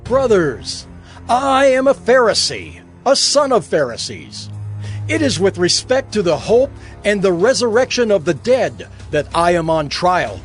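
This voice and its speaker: A man's voice: high-pitched, sing-song, unhurried, faint music behind. A man playing a defiant, impassioned apostle on trial in a dramatized Bible reading, declamatory and urgent.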